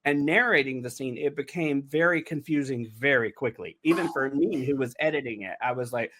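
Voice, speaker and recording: A man's voice: medium pitch, fairly quick, a bit bright. A man talking informally and casually as a podcast host about making an audio drama. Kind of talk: casual behind-the-scenes podcast chat.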